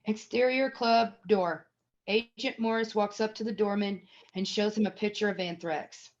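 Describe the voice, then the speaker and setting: A woman's voice: medium pitch, normal speed. Woman narrator, slightly dull recording. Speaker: narrator reading stage directions. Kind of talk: scripted screenplay narration.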